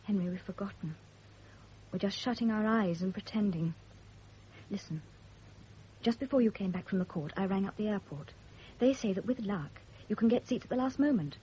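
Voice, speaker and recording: An actress playing a devoted, anxious young wife in a radio drama, earnest and apologetic. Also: female voice, mid-range voice, normal speed, long pauses, mellow, natural voice. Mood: anxious, pleading. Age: young adult. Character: anxious, devoted young pregnant wife, self-blaming and emotional. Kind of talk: period radio drama acting. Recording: dull, noisy old radio drama recording.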